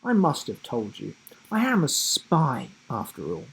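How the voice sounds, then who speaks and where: A man's voice: mid-range voice, huge pitch swings, a little slow, creaky, a bit bright, husky, full character voice, poor audio. A man voicing an eager, forgetful giraffe who fancies himself a spy in a children's storybook. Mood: earnest, muddled. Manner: earnest, rambling, forgetful.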